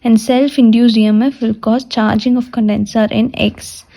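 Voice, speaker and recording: A woman giving a step-by-step technical lecture, restating her points deliberately as she explains. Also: a little high, steady tone, vocal fry, warm tone. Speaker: physiotherapy lecturer.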